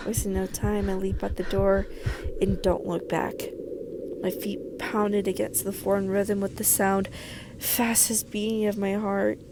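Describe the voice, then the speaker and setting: Young woman gamer with a creaky edge. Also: medium pitch, noisy background. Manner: informal, sweary, reactive. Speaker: gaming video host. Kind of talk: casual let's play commentary with read-aloud game narration.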